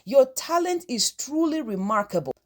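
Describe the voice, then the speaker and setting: A woman acts out a loving father in a folktale. He is proud and encouraging, speaking affectionately in a high, animated voice. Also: normal speed.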